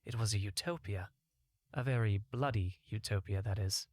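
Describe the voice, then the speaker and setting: A man's voice: lowish voice, normal speed, a little mellow, no room sound, clean studio sound. A man narrating a scripted first-person fantasy short story as an audiobook reader.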